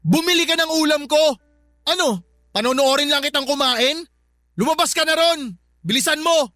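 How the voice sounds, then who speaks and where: A sarcastic, bossy young boyfriend in a radio drama, played in a high, bright voice. He snaps and insults without pause. Faint music runs underneath. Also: no echo. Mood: angry. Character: lazy, sharp-tongued young male live-in boyfriend.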